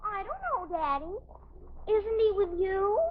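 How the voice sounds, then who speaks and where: An actress playing an innocent, excitable little girl in an American 1950s radio sitcom. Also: extremely high pitch, measured pace. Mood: innocent evasion. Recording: muffled old radio, studio audience behind.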